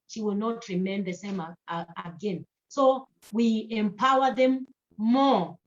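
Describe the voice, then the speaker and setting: A woman's voice: a little high, lo-fi sound. A woman from Kenya speaking earnestly as a guest in a panel talk, repeating herself and restarting phrases. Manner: earnest, repetitive, with many restarts. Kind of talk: panel talk answering questions.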